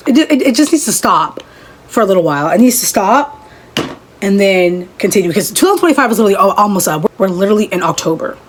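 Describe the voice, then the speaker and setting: An eighteen-year-old woman chatting casually as a beauty vlogger, rambling through a makeup routine with animated bursts. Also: mid-range voice. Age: young adult. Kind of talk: casual makeup tutorial chat.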